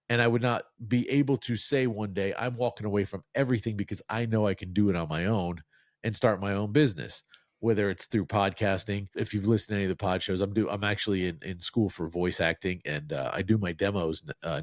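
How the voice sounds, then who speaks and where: A middle-aged man from New Jersey with a low voice tells long, rambling stories about himself on a podcast. He pokes fun at himself and slips into quick put-on voices when he quotes people. The recording is dry and close, with no room sound. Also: fairly even intonation, no room sound. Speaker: podcast co-host. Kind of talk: casual storytelling chat.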